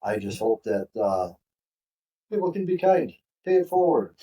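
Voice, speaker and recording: Dark-voiced man, blunt and off-mic. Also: mid-range voice, big pitch swings, measured pace, far from the mic, a little hiss or hum. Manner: blunt, emphatic, hortatory. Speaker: podcast co-host. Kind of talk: casual political discussion.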